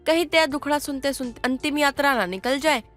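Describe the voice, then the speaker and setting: A woman's voice: high voice, brisk, fairly bright tone. A woman voicing an outspoken, teasing neighbour with a wry, chiding manner in a moral story cartoon. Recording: music under the voice.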